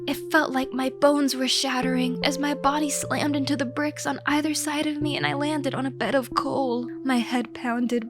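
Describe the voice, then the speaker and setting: A woman reading a scripted first-person story in a theatrical manner, as a narrator. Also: high voice. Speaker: streamer narrating own written story.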